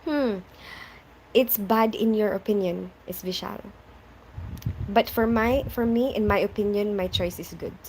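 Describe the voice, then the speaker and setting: A woman's voice: medium pitch, unhurried, darkish tone, slight background noise, rough recording. Chatty Filipino-raised woman live streaming. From Philippines. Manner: chatty, reads viewer names and answers them, laughs often. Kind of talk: casual live stream chat reading comments.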